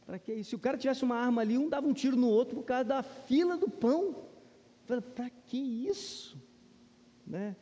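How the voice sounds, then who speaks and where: A man's voice: sits high, mellow, dull audio. A man with a Brazilian accent giving an informal, conversational Bible lesson to a congregation, often turning to them with questions and rhetorical tags. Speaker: Sunday school teacher at a church. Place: large church room, speaking to a congregation. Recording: reverberant hall with long echo.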